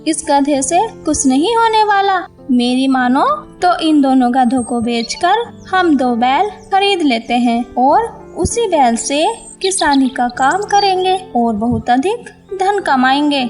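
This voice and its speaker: A woman's voice: high voice, lively intonation, takes it slowly, a little mellow, faint music behind. A woman voicing a poor farmer's discontented, scolding wife in a moral story cartoon. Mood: scheming. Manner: complaining, scolding.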